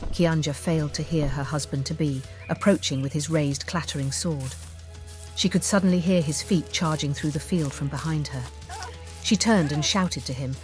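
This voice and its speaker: A woman with a low voice reads a dark fantasy tale as an audiobook storyteller. Her delivery is scripted and dramatic, with deliberate phrasing. Music plays softly behind her. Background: background music.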